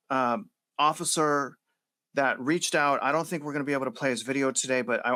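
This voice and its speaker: A man hosting an interview, talking in an animated, conversational way and using fillers. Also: on the high side. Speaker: host.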